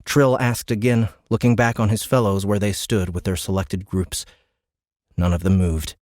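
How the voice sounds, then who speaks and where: A man with a low voice narrates a dramatic science fiction audiobook. His delivery is measured and suspenseful, like scripted storytelling. The recording is close and very dry. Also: fairly even intonation, darkish tone, dry recording. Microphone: close studio microphone.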